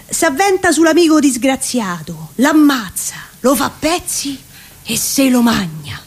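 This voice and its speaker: A woman with a Roman accent recites comic verse in a theatrical, storytelling way. Her voice sits a little high.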